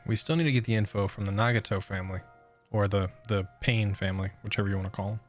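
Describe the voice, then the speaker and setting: A man's voice: fairly low pitch, fast, faint music behind. A man giving casual commentary as he plays through a video game. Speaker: gaming video creator.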